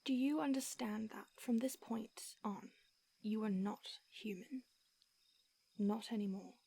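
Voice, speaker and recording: A woman voicing an ancient female vampire who mentors her fledgling, speaking in a hushed, breathy whisper with hesitant restarts. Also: medium pitch, slow, heavily acted. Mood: remorseful, tender. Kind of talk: audio roleplay.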